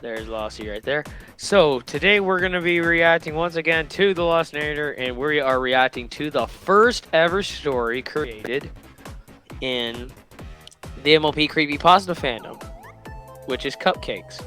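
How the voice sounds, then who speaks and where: Bright, higher-pitched man hosting a reaction video. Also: expressive, measured pace, soft background music. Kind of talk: casual reaction commentary.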